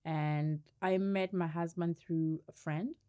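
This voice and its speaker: A woman's voice: lowish voice, takes it slowly, clear, resonant tone. A middle-aged woman from Kenya, a guest in a casual interview, telling stories with frequent laughter. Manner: laughs often, animated storytelling.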